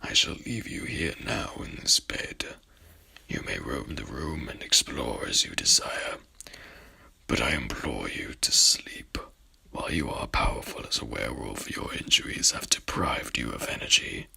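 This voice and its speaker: A man playing a courtly, haughty headless horseman in a whispered ASMR roleplay, speaking with pompous, archaic formality in a breathy, gravelly voice. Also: extremely low pitch, animated, measured pace, pushed and bright, heavily acted. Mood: soothing, formal.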